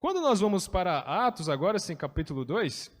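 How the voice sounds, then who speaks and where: A man's voice: sits high, big pitch swings, a little fast, big-room sound. A man teaching a Bible study in a chatty way, explaining with everyday examples.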